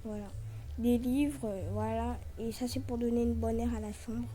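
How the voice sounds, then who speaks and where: A woman's voice: a little high, fairly even intonation, normal speed, warm tone. A young woman with a French accent giving a casual room tour, chatty and filling her talk with "genre" and "voilà". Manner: chatty, filler-heavy with frequent "genre" and "voilà". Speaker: vlogger.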